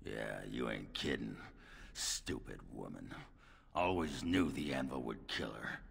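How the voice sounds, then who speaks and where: Surly, extremely deep gravelly dwarf. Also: male voice, measured pace, exaggerated voice. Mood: bitter scorn. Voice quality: very gravelly, husky. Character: surly, coarse, bitter male dwarf. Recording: long reverberant hall.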